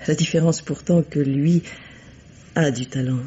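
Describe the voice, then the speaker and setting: An actress playing a composed, coolly bitter middle-aged widow in a French radio mystery play, speaking formally and deliberately. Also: female voice, low-pitched, steady tone, warm tone, a little hiss or hum. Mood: measured, disdainful. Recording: dull, lo-fi vintage radio recording.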